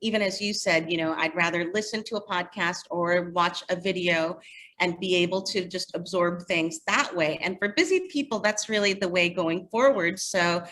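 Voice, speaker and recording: Bright-voiced woman being interviewed. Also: fairly low pitch, moderate pace, a little hiss or hum. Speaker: interview guest, magazine editor. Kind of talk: casual conversational interview answers.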